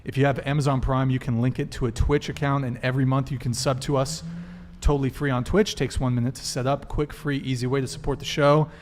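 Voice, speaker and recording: A man's voice: mid-range voice, level tone, fast, slight background noise. A man hosting a live talk podcast, casually addressing his viewers. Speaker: podcast host.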